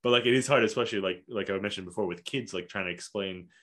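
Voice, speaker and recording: A man's voice: fairly low pitch, quick pace, fairly bright tone. A man in his thirties, raised in Malaysia, talking in a loose, rambling podcast chat full of fillers and restarts. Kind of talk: casual conversation.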